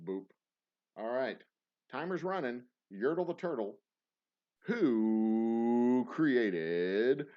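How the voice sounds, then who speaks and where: A man's voice: lowish voice, warm tone, dull audio. Rambling, joking man hosting trivia. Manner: rambling, self-mocking jokes, frequent restarts. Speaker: host reading trivia questions on a livestream. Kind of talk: casual live-stream banter.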